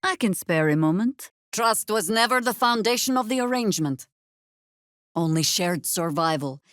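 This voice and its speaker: An actress playing a stern, battle-hardened older druid heroine in a fantasy video game, dry, sardonic and commanding in delivery. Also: female voice, mid-range voice, expressive, moderate pace, dry recording, lightly acted. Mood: measured, weary.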